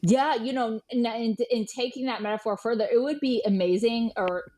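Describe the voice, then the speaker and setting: A woman with a fairly high voice talks casually as an interview guest. Her delivery is very informal and colloquial, full of "like" and little quoted reactions. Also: normal speed, darkish tone. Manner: informal, peppers speech with "like", animatedly quotes others. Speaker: journalist and author, podcast guest. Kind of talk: casual chat.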